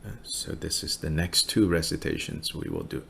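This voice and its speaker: A man giving a relaxed Buddhist teaching over a video call, laughing often and using many fillers. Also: rumbling low, normal speed, vocal fry, slight background noise.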